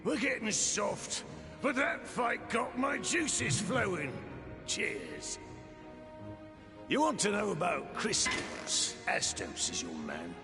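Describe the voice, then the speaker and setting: Casting: swaggering video game pirate captain, gravelly, hugely animated delivery, game score underneath. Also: male voice, high voice, slow, music in the background, full character voice. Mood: boisterous. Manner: pirate slang, swaggering, theatrical. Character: swaggering rough pirate captain, boisterous and mocking. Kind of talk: video game cutscene acting.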